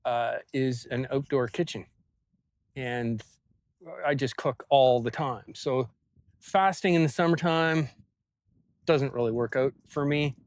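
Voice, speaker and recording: Slow-talking man vlogging casually outdoors. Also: medium pitch, dry recording. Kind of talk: casual personal vlog to camera. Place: outdoors on a small farm.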